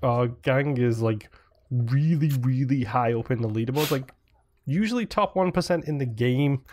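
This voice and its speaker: A man's voice: medium pitch, normal speed, darkish tone. A man co-hosting a gaming podcast in casual, conversational chat. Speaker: podcast co-host.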